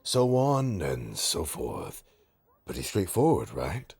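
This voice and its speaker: A man playing a bitter, taunting supervillain in an audio roleplay, with a raspy, gravelly voice and a sardonic, theatrical, halting delivery. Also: rumbling low, huge pitch swings, a little mellow, exaggerated voice. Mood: smug, measured. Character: menacing, taunting male supervillain, bitter, grudgingly rehabilitating. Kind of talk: scripted audio roleplay drama.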